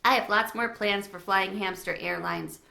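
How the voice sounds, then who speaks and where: A woman's voice: medium pitch, moderate pace, vocal fry, pushed and bright. A woman reading a children's storybook aloud as narrator. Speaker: read-aloud reader. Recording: echoey room.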